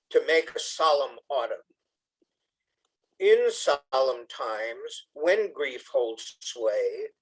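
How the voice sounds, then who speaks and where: A man reading poetry and introducing it informally to an audience, in a measured, expressive way. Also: high voice, rough recording. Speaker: congregation member reading poetry.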